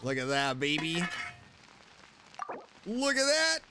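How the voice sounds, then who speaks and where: A woman's voice: low-pitched, big pitch swings, slow pace. A woman game streamer giving casual, playful, exclamatory commentary as she plays. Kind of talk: casual, reactive gameplay commentary. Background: game music.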